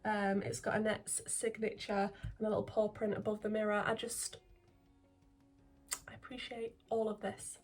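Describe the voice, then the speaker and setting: Chatty woman with a husky voice. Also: mid-range voice, normal speed, soft background music. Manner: chatty and excitable, rambling with frequent ums, drops to near-whisper at times. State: getting over a cold. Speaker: makeup vlogger. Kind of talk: casual beauty vlog chat. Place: indoors at home, filming makeup at a mirror.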